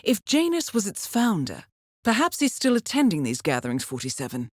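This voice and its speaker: An actress playing a composed, articulate intelligence handler in a video game, briefing an assassin in a measured, precise, formal way. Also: female voice, mid-range voice, huge pitch swings, no echo, studio quality. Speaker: mission handler.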